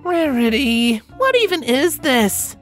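A gentle, earnest cartoon pony mare, as a male narrator puts her on. The voice sits high and lifts with care for her friends, over music. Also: very animated, slow pace, heavily acted. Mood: exasperated.

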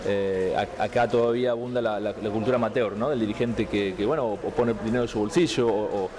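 A man's voice: lowish voice, fast talker. Argentine man with a noisy background. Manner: thoughtful, many restarts and filler "eh". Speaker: interviewee. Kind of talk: relaxed interview answers. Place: indoor room, seated on a couch. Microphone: handheld mic held out by interviewer.

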